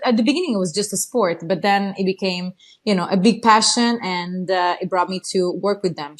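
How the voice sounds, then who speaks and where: An eager woman with an Italian accent, talking as a podcast guest. She chats in a loose, excited way, full of fillers and restarts. She is a native Italian speaker. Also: mid-range voice. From Italy. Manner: enthusiastic, many fillers and restarts. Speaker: guest, horse trainer. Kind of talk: casual interview talk.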